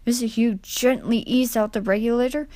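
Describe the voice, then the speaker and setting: A woman's voice: on the high side, fairly even intonation, normal speed, dark tone, no echo. A woman reading a children's story as a scripted narration.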